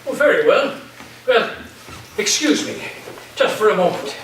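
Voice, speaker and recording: A man's voice: high voice, very animated, a little slow, fairly bright tone, full character voice. A man playing an eccentric college professor in a staged play reading, formal, theatrical and earnest in delivery. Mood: polite, distracted. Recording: echoey room from far off, noisy.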